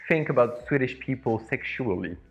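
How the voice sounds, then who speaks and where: A man's voice: medium pitch, expressive, moderate pace, dull audio. A man from northern France with a French accent, a native French speaker talking casually in a group discussion. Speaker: panel participant. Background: music.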